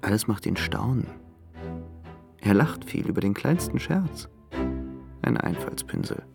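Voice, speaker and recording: Brooding young man, slightly creaky. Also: lowish voice, very animated, a little slow, over music, full character voice. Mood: disdainful. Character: young provincial man in Paris, yearning and brooding. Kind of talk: German radio drama acting.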